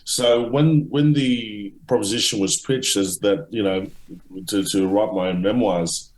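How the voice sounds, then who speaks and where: A middle-aged man answering interview questions at length, halting, with many restarts and fillers. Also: on the low side, a little mellow, roomy sound, low-quality recording. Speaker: author and guest.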